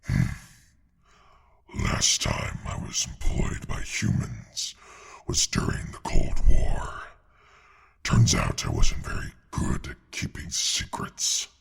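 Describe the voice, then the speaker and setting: A man playing a huge ancient dragon in an audio drama, with a gravelly, breathy growl and slow, deliberate, formal speech. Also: very deep voice, huge pitch swings, a bit bright, heavily acted. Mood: proud, musing. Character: huge ancient red dragon chef, proud and unhurried.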